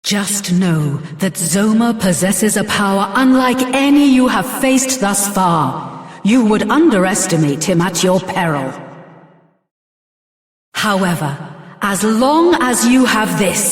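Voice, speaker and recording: Drenched in long hall reverb, a heroic father from a fantasy game. He speaks slowly and gravely, resolute as he counsels his child. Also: sits high. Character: solemn heroic father passing on his quest. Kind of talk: scripted video game voice acting.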